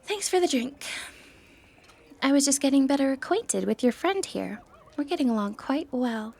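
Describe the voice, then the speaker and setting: Sly, flirtatious high-voiced thief played by a woman. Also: lively intonation, moderate pace, gravelly, darkish tone. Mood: wry, confident. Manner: teasing, confident, wry. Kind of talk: ASMR roleplay acting.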